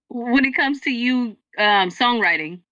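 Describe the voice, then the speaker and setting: Bright, chatty woman interviewer on a muffled call. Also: on the high side, lively intonation, slow, no room sound. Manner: loose, chatty, fumbles questions. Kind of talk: casual interview over a call.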